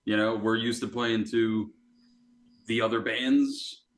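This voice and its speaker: A man's voice: lowish voice, fairly even intonation, takes it slowly, low-quality recording. A man from a band chatting casually in a laid-back, trailing way. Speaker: band member guest. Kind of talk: casual chat.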